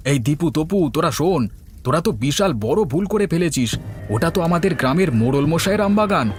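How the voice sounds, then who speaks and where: A man's voice: fairly high pitch, animated, fast talker, natural voice. A man playing a genial-seeming but sly village uncle in a fairy-tale cartoon, with music behind. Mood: sly, warning.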